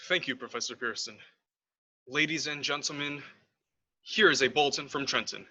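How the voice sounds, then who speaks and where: A man's voice: mid-range voice, normal speed, bright, forceful tone, a little hiss or hum. A man acting a serious radio news announcer in a radio play, reading bulletins formally. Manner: formal, scripted. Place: room at home. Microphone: headset.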